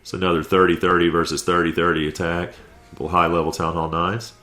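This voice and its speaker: A man's voice: sits low, level tone, quick pace, sharp tone, faint music behind. A man with a stuffy head cold giving laid-back, conversational play-by-play commentary over a video game recap. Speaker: clan war recap commentator.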